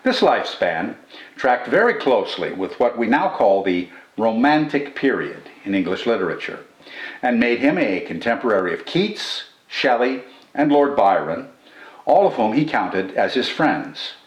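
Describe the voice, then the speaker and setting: An older man with a mid-pitched voice delivers scripted literary commentary and recitation. His phrasing is measured and formal, yet his intonation swings expressively. The sound has a noticeable room echo. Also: very animated. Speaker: poetry channel host and reader. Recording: roomy indoor echo.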